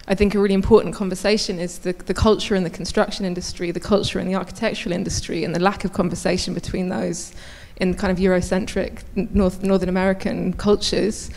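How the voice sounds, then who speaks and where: A woman's voice: lowish voice, flat delivery, fast talker, few pauses, darkish tone, slight background noise. A woman in her thirties, an architect on a conference panel, speaking conversationally into a handheld mic. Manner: conversational, many fillers and restarts. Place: large conference hall on stage. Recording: long reverb of a large hall.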